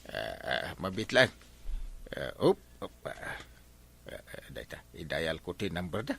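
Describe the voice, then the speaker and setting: A man's voice: deep voice, big pitch swings, measured pace, creaky, a bit bright, a little hiss or hum, exaggerated voice. A man with an Ilocano accent playing a bumbling, easily flustered village captain in a comedy drama, hesitant and stammering.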